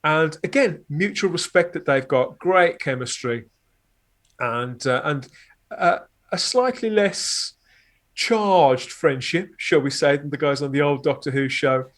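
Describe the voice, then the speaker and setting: A man's voice: a little high, unhurried. A man talking casually as a podcast guest and reviewer, discursive and often rephrasing himself, with wry understatement.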